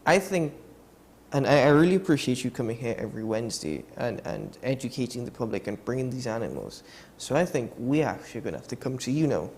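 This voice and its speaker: A man's voice: medium pitch, sing-song, fast, darkish tone, a little hiss or hum. A man hosting a morning TV show, earnest and often restarting his sentences in a casual studio chat. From Trinidad and Tobago. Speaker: TV show host.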